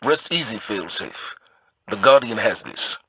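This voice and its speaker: A man's voice: on the low side, very animated, unhurried, bright, forceful tone, no echo, exaggerated voice. A man playing a stern, dependable military commander in a video game, giving clipped mission briefings. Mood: stern, resolute. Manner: authoritative, clipped. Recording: game audio with clear background noise.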